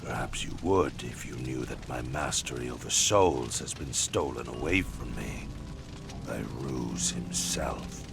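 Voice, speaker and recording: An ancient grim reaper spirit, super low gravelly voice, slow and grave. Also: male voice, full character voice. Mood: solemn. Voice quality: deep gravelly voice. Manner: slow, solemn, hushed. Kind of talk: game cutscene acting. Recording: music playing behind.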